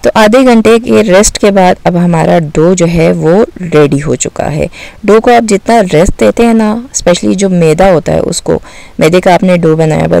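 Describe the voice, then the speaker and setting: Gravelly-voiced woman explaining a recipe. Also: fairly low pitch, no echo. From Baltistan. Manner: calm, explanatory, addresses viewer directly. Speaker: cooking channel host. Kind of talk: step-by-step recipe instruction.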